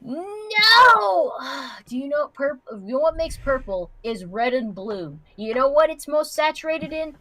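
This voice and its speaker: A woman's voice: high voice, lively intonation, unhurried, few pauses, sharp tone, no room sound. A woman co-hosting a livestream in casual banter, blunt, sweary and emphatic. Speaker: livestream co-host.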